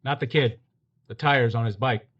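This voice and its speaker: A man playing a deadpan police detective. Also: medium pitch, moderate pace, slightly rough, dull audio. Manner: clipped, deadpan, matter-of-fact. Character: terse male police detective narrator. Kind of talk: hardboiled police narration.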